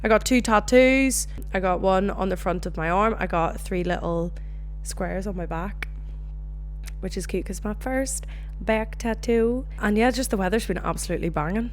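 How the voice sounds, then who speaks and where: A young Northern Irish woman hosting her own podcast, chatting casually and telling animated stories. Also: medium pitch, a little hiss or hum. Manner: chatty, animated storytelling with swearing. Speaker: podcast host. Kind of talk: casual solo chat.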